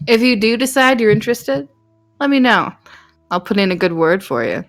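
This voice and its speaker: A woman's voice: a little high, expressive, moderate pace, soft background music. A woman voicing a friendly, casual female security militia guard in a visual novel. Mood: friendly, confident.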